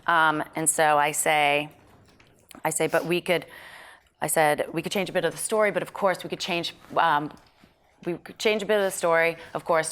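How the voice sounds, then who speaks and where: A woman's voice: low-pitched, fairly bright tone. A woman talking casually through a script as an actor, precise about wording and reading lines out as she checks them. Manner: precise, detail-minded, asks for approval. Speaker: actor at a script table read.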